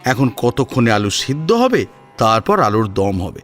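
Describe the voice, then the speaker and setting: Man, audio story narrator, mid-range voice, animated intonation, scripted dramatic reading, music behind. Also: medium pitch, measured pace.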